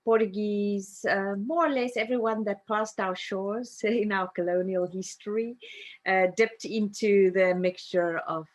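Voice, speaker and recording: Thoughtful South African woman, lower voice. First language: Afrikaans. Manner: thoughtful, with frequent fillers and soft laughs. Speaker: guest, narrative coach. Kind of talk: reflective interview conversation.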